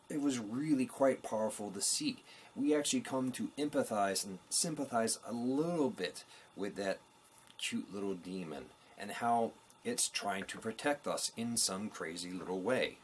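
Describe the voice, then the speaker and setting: Guy with a lowish voice doing an earnest review for his show, some background noise. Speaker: host of a book review show. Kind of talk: scripted review narration to viewers.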